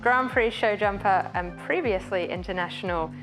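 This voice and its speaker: A woman's voice: mid-range voice, moderate pace, poor audio. A woman show jumper reading a scripted testimonial for a sponsor's product, in an earnest way. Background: music.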